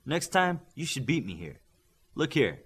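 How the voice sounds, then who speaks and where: A man voicing a stern military-style trainer in an audiobook, giving brisk, clipped orders. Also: mid-range voice, big pitch swings, a bit bright, slightly rough, pitched above the performer's own voice, heavily acted. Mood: commanding.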